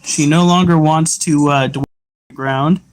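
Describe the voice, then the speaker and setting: Livestream host: man, slightly higher voice, unhurried, chatty explainer. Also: steady tone. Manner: chatty, explains tarantula care while answering chat. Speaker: livestream host and pet keeper. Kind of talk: casual livestream chat.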